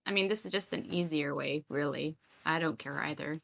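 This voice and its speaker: A woman's voice: low-pitched, a little fast, creaky, a bit bright. A woman chatting casually as a player in a tabletop roleplay game.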